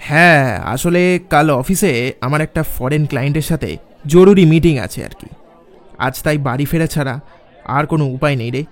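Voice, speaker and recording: Higher-voiced man acting in horror story. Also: normal speed, natural voice. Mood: casual, evasive. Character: young office man recounting his eerie night, dismissive, skeptical. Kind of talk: dramatised horror story narration. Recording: music under the voice. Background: background music.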